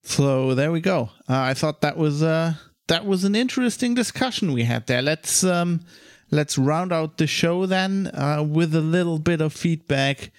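A man with a German accent hosting a podcast in casual conversation, blunt and quick to laugh, swearing now and then. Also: on the high side, animated, clear, resonant tone, no room sound, clean studio sound. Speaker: podcast host.

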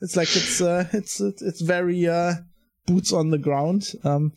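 A man with a German accent hosting a podcast in casual conversation, blunt and quick to laugh, swearing now and then. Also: sits high, steady tone, gravelly, dry recording. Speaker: podcast host.

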